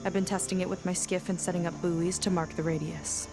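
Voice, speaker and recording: Low-voiced, determined young navy woman played by an actress. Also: flat delivery, fairly quick, over music, natural voice, rough recording. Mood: serious, focused. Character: determined young female petty officer, proud, frustrated, plainspoken sailor. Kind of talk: video game cutscene acting.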